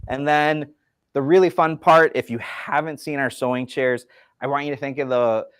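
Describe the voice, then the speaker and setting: A man's voice: medium pitch, no echo, studio quality. Upbeat man demonstrating sewing chairs. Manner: enthusiastic, explanatory, folksy. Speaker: furniture company representative. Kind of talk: product demonstration chat.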